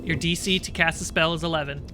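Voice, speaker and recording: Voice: mid-range voice, steady tone, fast talker. A game master narrating and refereeing a livestreamed tabletop game in an informal, suspenseful storytelling way. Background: music.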